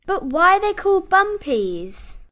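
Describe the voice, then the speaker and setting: High-pitched, curious little girl. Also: sing-song, sharp tone, heavily acted. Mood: curious, polite. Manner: wide-eyed, drawn-out, well-mannered questions. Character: polite curious seven-year-old little girl. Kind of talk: children's storybook character voice.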